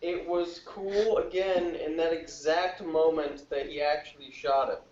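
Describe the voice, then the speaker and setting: A man with a slightly raised pitch gives blunt, dismissive verdicts in casual review chat. He sounds well away from the mic in an echoey room. The recording is dull. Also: steady tone, unhurried, a little mellow. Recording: roomy, distant, dull, noisy audio.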